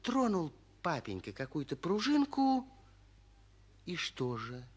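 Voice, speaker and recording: An actor narrating a 1940s children's radio play as a kindly storyteller, expressively addressing young listeners. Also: male voice, on the high side, wildly swooping pitch, takes it slowly, background noise, heavily acted. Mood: storytelling.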